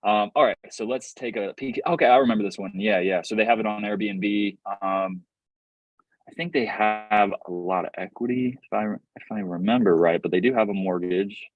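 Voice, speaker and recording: Man, workshop host, video call, upbeat, hypes the audience, lowish voice. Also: normal speed, dry recording, lo-fi sound. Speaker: real estate investing host.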